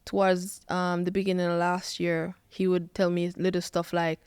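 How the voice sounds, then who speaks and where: A woman's voice: lowish voice, moderate pace, clear, resonant tone, no echo, studio quality. A Jamaican woman in her twenties, a footballer telling stories as a podcast guest and acting out what people said. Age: 20s. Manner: animated storytelling, acts out quoted lines. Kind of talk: podcast interview.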